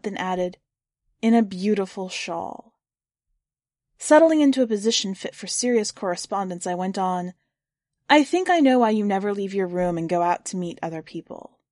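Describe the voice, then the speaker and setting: A woman hosting a fiction podcast, introducing and then reading a short story in a measured, clear storytelling delivery. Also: mid-range voice, darkish tone, no echo, clean studio sound. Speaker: podcast host and story reader.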